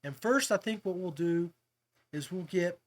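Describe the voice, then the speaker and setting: A man hosting a podcast, talking casually and a little haltingly as he walks viewers through details. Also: high voice. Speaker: podcast host. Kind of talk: casual informational talk to viewers.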